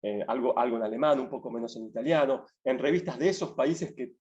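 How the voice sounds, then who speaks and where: A man giving an academic talk over a video call, measured and full of restarts. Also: mid-range voice, darkish tone, dull audio. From Argentina. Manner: measured, many restarts and fillers. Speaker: guest speaker.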